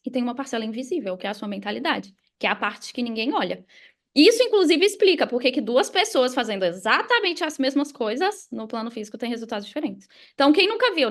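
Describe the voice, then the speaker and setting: A Brazilian woman telling a personal story casually as a podcast guest, animated and informal, often checking in with listeners. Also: a little high. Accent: Brazilian Portuguese.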